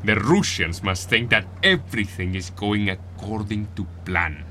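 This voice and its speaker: Casting: scheming Latin American revolutionary, bright edgy low voice, emphatic delivery, faint noise behind. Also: male voice, animated, unhurried. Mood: resolute, scheming. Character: male Latin American rebel leader, earnest and scheming. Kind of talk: audio drama acting.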